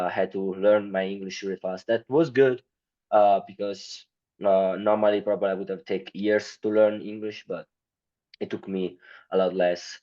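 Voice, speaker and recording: An Italian man speaking English as a second language, answering interview questions in a halting way with many fillers. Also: fairly low pitch, a little mellow, highs cut off. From Italy. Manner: hesitant, many fillers, non-native grammar. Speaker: guest.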